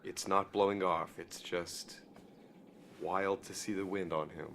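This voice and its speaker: A man's voice: sits low, fairly even intonation, a little slow, creaky, fairly bright tone, slight background noise, heavily acted. A man playing an easygoing, supportive partner in a heist, with casual, reassuring delivery in a naturalistic audio drama. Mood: calm, marvelling.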